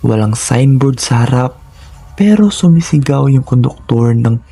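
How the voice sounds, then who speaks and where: Gravelly man narrating horror. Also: medium pitch, animated, unhurried, warm tone, dry recording. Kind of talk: scripted first-person horror narration.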